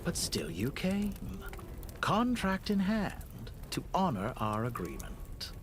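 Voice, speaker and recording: An actor playing a cold, cultured villainous scientist in a video game, speaking in eloquent, measured, theatrical phrases. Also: male voice, on the high side, huge pitch swings, noisy background, full character voice. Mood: coolly probing. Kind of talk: scripted video game voice acting. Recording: music under the voice.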